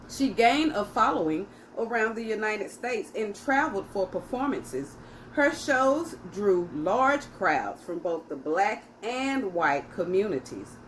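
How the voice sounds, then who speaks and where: Animated woman reading aloud to children. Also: fairly high pitch, a little hiss or hum, poor audio. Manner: addresses young listeners directly, teacherly. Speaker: children's storytime host. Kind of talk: read-aloud story for children.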